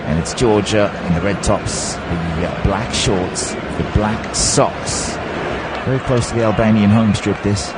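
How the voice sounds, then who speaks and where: A man's voice: lowish voice, fairly even intonation, unhurried, loud music behind the voice, poor audio. A man giving live football commentary, lifting his voice on chances and stumbling now and then over player names. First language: not Czech. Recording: loud background noise.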